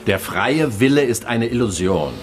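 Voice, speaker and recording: An actor playing an opinionated, well-informed newspaper journalist arguing and explaining in a radio drama. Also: male voice, medium pitch, animated, normal speed, lightly acted. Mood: opinionated, animated. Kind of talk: conversational radio drama acting.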